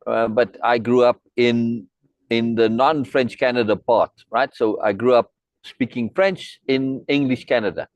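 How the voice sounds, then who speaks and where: A man's voice: medium pitch, fairly even intonation, unhurried, no echo. Chatty middle-aged South African man. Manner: rambling, friendly, many restarts and asides. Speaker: host. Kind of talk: casual chat on a video meeting.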